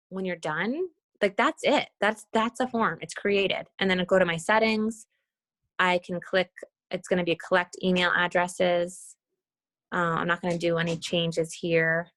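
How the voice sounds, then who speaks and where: A woman's voice: fairly low pitch, fairly even intonation, a little slow, fairly bright tone, no room sound. A woman leading an informal screen-share walkthrough on a team call, talking casually and thinking aloud as she demonstrates. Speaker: team leader giving a tutorial.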